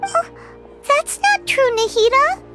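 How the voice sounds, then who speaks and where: A woman voicing a bubbly, blunt little fairy companion in a video game, with a squeaky, childlike and eager delivery. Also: animated, slow pace, full character voice. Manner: exclamatory, eager. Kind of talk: animated game voice acting. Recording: music playing behind voice.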